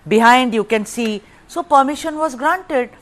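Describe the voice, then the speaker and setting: A woman's voice: high-pitched, measured pace. Probing news anchor woman. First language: Tamil. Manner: probing, pressing questions. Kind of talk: live TV interview.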